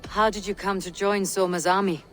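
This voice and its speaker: An actress playing a stoic, battle-hardened female Viking raider in a video game, speaking in a measured, terse way. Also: medium pitch, steady tone, soft background music, natural voice. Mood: steady, probing. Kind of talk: video game cutscene acting.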